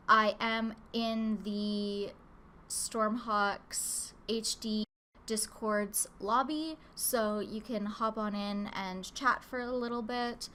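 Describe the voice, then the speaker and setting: A woman hosts a live art stream from home, her voice a little nasal from a lingering cold. She talks at an unhurried pace, easygoing and rambling, with plenty of ums and likes into an open desk mic. A faint hiss sits under her voice. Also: a little high, sharp tone. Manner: easygoing, rambling, lots of filler words. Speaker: live-stream artist host. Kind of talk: casual live-stream chat while drawing. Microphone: open desk microphone.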